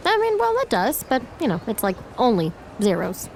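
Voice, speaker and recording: Bubbly young sister: very high, swooping voice, cheeky and gushing, romcom podcast, slight ambient noise. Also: female voice, huge pitch swings, moderate pace, creaky, a little mellow, exaggerated voice. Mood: gushing, starstruck. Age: young adult.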